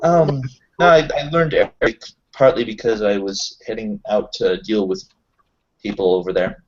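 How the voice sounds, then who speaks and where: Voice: mid-range voice, moderate pace, muffled recording, slight background noise. A roleplay player improvising casual in-character talk as an affable tech contractor, explaining things in a hesitant, rambling way. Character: Kyle, affable self-employed tech contractor.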